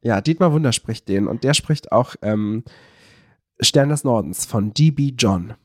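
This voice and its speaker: A man's voice: medium pitch, expressive, unhurried, no echo, studio quality. A man co-hosting a podcast in casual chat, explaining things in a rambling, enthusiastic way. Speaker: podcast co-host.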